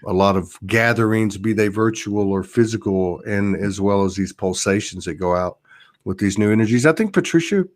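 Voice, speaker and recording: A man's voice: on the low side, normal speed. A man talking casually and reflectively about spiritual experiences, circling back and rephrasing as he goes.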